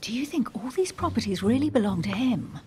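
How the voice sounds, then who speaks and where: A woman's voice: a little high, sing-song, a little fast, warm tone, a little hiss or hum. A woman acting a cool, skeptical, learned young aristocrat in a video game cutscene, with a dry, nonchalant, sardonic delivery. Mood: intrigued.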